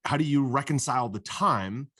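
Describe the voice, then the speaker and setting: A man's voice: medium pitch, expressive, normal speed, sharp tone, no echo, studio quality. A man hosting a podcast interview, upbeat and affirming, asking long multi-part questions. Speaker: podcast host. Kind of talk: casual interview chat.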